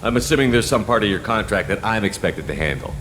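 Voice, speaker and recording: An actor playing a tough, commanding space soldier in a video game, speaking in a terse, dry, assertive way. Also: male voice, fairly low pitch, lively intonation, fast, noisy background, lightly acted. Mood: businesslike.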